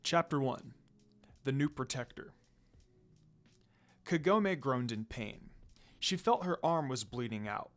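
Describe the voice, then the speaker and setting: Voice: medium pitch, animated, takes it slowly, pauses often, faint music behind. A narrator reading a fan fiction story aloud in a dramatic storytelling style.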